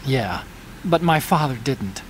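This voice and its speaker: A man's voice: mid-range voice, lively intonation, slow, a little hiss or hum, rough recording. A man playing an earnest, brainy engineer in a video game, articulate and weighed down by guilt. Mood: guilty, reluctant. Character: earnest, brainy male engineer, guilt-ridden and soft-spoken. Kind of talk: video game cutscene acting, explanatory dialogue.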